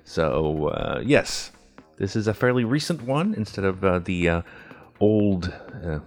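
Podcast co-host, male, native Swedish speaker; very low pitch, loose and chatty. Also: big pitch swings, unhurried, a little mellow. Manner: playful, mock-official opening, many fillers. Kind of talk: casual podcast chat.

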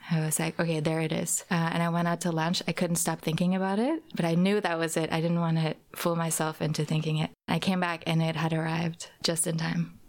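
Young woman from Norway, soft-spoken interview guest, slightly low voice, unhurried with pauses. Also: level tone. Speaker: guest performer. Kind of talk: casual interview.

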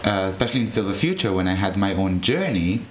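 A man speaks casually as an interview guest, his voice sitting fairly low. He talks in an animated way, laughs easily and often restarts his sentences. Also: fast, background noise. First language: Hebrew. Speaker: drag performer guest.

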